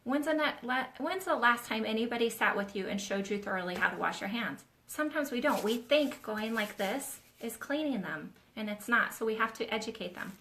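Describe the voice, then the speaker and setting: This is a woman hosting a live question-and-answer session for nail techs. Her voice is bright and forward, and she talks in a loose, chatty teaching style, explaining as she demonstrates on her own nails. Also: fairly high pitch, lively intonation, fairly quick, hardly any pauses. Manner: chatty, demonstrates while talking, addresses viewers as "you guys". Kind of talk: casual live-stream teaching.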